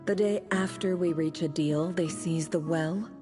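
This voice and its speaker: Smug female envoy played by a woman for a game: lowish voice, formal, condescending, quietly threatening, music underneath. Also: fairly even intonation, measured pace, lightly acted. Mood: coolly threatening. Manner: formal, condescending, silky menace. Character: smug, scheming woman posing as a haughty ambassador. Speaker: game voice actor. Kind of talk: dramatic video game voice acting.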